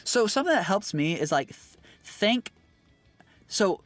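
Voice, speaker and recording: A man with a somewhat high, very animated voice chats casually with his stream viewers, rambling and filling gaps with ums and quick laughs. His voice is close and dry, with faint music playing under it. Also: no echo. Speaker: streamer and singer. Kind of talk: casual livestream chat with viewers.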